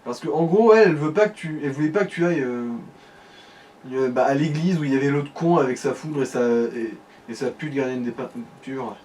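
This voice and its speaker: A man's voice: medium pitch, brisk, darkish tone. A man giving casual game commentary as a co-host, explaining things informally with hesitant phrasing. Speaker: let's play co-commentator, guide. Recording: distant mic, faint background noise.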